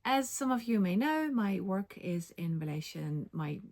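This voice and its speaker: A woman's voice: medium pitch, expressive, a little slow, a little mellow. A middle-aged woman with a light Dutch accent, chatting casually in a vlog with many ums and self-corrections. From Netherlands. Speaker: knitting vlogger and linguist.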